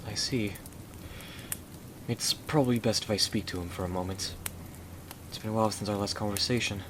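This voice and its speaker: A man playing a gentle, devoted young Victorian gentleman husband in an ASMR roleplay, speaking earnestly and courteously. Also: on the low side, steady tone, normal speed, noisy background, close to an everyday voice. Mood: affectionate.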